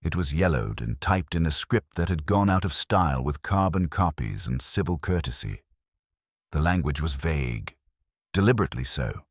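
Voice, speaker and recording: A man's voice: a bass voice, animated, moderate pace, husky, dry recording. A man narrating a scripted mystery story in a dry, literary manner.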